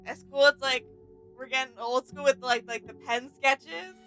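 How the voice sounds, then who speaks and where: A woman's voice: sits high, moderate pace, fairly bright tone, soft background music, rough recording. A woman co-hosting a reaction video, chatting casually and making short, wondering remarks.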